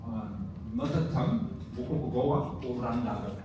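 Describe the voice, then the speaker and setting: A middle-aged man from Belgium whose first language is Dutch, giving a relaxed conference presentation with many fillers. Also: medium pitch, normal speed. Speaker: university professor. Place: large conference hall. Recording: echoey hall from far off, noisy.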